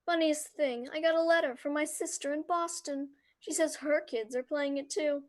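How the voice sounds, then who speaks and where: A woman's voice: sits high, fairly even intonation, normal speed, lightly acted. A woman playing a breezy, gossipy suburban mother chatting in a radio play.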